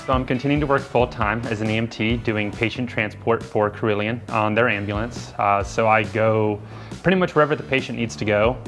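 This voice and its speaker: A man's voice: lowish voice, a little fast. A young man, a nursing student, speaking earnestly and reflectively in an interview, with frequent fillers. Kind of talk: documentary interview. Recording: music playing underneath.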